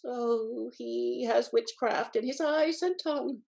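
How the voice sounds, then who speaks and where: An imperious older society matriarch from a Restoration comedy. Her voice sits very high, and every line is delivered with grand melodrama. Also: slow pace, gravelly, a little mellow. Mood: grudging, smitten. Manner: grandiose, theatrical. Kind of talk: scripted stage comedy acting.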